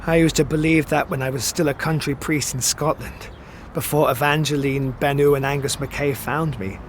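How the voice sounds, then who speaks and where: An actor playing a wistful, evasive immortal man in an audio drama, speaking with theatrical eloquence that turns halting when he is pressed. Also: on the high side, fairly even intonation, slight background noise, lightly acted. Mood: grieving, bitter.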